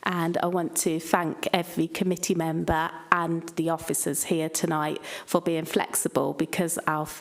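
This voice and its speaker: A woman chairs a council committee in a meeting chamber. Her voice sits low with a gravelly edge, and her pitch barely moves. She pauses often and fills gaps with hesitant ums. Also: level tone. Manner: hesitant, many fillers.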